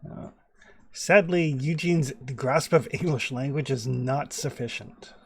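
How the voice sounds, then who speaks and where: A man of ordinary pitch runs a tabletop horror game, narrating outcomes and rules. He rambles, circles back and pads his sentences with fillers. It sounds like a home microphone on a voice chat. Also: slow, gravelly, husky. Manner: rambling and chatty, many fillers and restarts. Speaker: game master.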